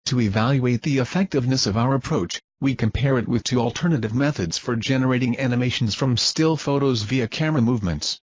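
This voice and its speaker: Man reading formal narration, husky and muffled. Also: medium pitch, dry recording. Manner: formal, measured, reading from a script. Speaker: research presenter. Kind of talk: scripted narration of a research demo.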